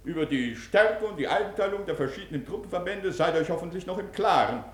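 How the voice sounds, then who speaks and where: A man acting a pompous, excitable prison director in a 1950s German radio play, declaiming and commanding like a schoolmaster. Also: high voice, brisk. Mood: lecturing, stern. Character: pompous, excitable prison director drilling inmates in war games. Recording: roomy, faintly noisy 1950s radio recording.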